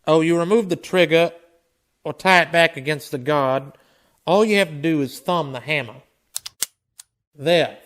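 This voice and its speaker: A man's voice: on the high side, measured pace, pauses often, a bit bright. A man playing a terse, weary Old West town marshal in a western radio drama, with a guarded, laconic way of talking. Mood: dry, steady.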